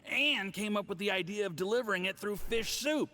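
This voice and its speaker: A man's voice: high voice, a little fast, bright, forceful tone. An actor playing a boastful, patronizing foreign agent who schemes over drinks in a video game, savouring his phrases smugly. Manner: smug, theatrical, savouring phrases.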